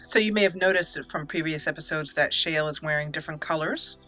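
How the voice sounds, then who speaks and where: A woman's voice: low-pitched, fast talker, pushed and bright, soft background music. A woman hosting a casual, chatty roleplay let's play, sometimes reading dialogue theatrically. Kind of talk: casual game commentary with roleplay.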